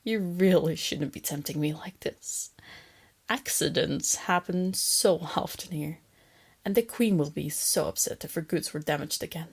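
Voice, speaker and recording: Woman playing a breathy, teasing lamia captor, pitched low. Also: a little slow, exaggerated voice. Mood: veiled threat. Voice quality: soft, breathy, often slipping into whispers. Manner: teasing, coaxing, condescending, giggly. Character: female lamia captor, softly teasing, doting yet menacing. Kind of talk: intimate roleplay ASMR.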